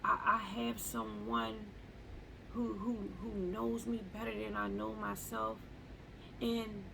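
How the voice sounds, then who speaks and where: Woman vlogging from home, real slow, lots of vocal fry, keeps restarting her sentences. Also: mid-range voice, background noise. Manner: halting, repeats words, searches for words. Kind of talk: reflective live journal talk.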